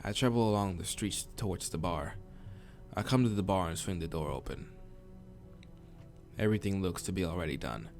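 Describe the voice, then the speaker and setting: A man's voice: low voice, moderate pace, long pauses, a little mellow. A man narrating a visual novel as a let's player, informal, sometimes stumbling over the text and restarting. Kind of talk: story narration with casual asides. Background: game soundtrack music.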